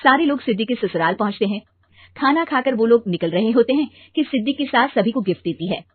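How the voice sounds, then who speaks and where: A woman's voice: a little high, animated, a little fast, slightly rough, no room sound, narrow, tinny audio. A woman storyteller narrating a scripted family tale.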